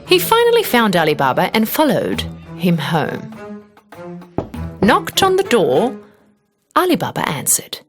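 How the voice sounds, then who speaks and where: Very animated woman storyteller. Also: fairly high pitch. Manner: expressive storybook delivery. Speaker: storyteller narrator. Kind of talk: scripted children's fairy tale narration.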